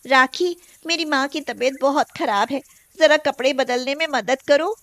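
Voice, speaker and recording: Woman playing a high-voiced young daughter-in-law. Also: moderate pace, a bit bright, dry recording. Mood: coaxing. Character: firm young daughter-in-law, dutiful and caring.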